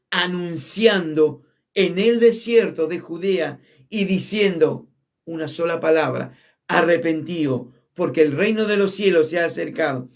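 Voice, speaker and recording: A male pastor with an Argentine Spanish accent, preaching a sermon with emphasis, dropping low on key words. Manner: emphatic preaching, drops low on key words, shifts between quick and drawn-out phrasing.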